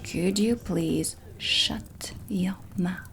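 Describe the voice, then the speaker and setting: A woman playing a stern, impatient dark witch teacher in a soft-spoken ASMR roleplay, with a deliberate, commanding manner over ambient music. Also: fairly low pitch.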